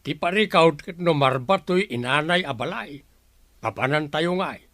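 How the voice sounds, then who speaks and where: A man acts a grouchy older Ilocano father in a radio drama. His voice is high and sharp, captured dry and close. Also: expressive, normal speed, dry recording. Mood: grumbling, defensive.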